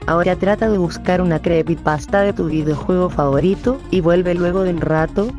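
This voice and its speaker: A woman with a lowish voice narrates trivia in a wry, teasing manner. Music plays clearly behind her. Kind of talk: scripted narration.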